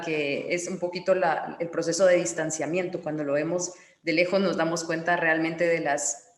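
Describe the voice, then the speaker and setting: Moderator woman, lowish voice, polite and measured, roomy echo. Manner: courteous, measured, summarizing. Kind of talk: moderating a panel discussion.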